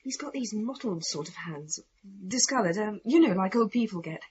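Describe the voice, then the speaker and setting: An actress playing a spirited, frank daughter in a radio play about a haunted house, with a wry, expressive delivery. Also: female voice, medium pitch, moderate pace, husky, muffled recording. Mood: hushed recounting. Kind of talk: radio play dialogue and narration.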